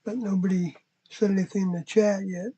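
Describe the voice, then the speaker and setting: A person chatting casually as host of a livestream, in a meandering, unhurried manner. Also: medium pitch, fairly even intonation, mellow, husky. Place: inside an RV. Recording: muffled, dull livestream audio.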